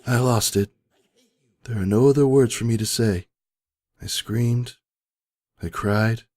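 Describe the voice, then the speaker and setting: A man's voice: fairly low pitch, a little mellow. A man reading scripted first-person story narration in a dramatic, emotive way.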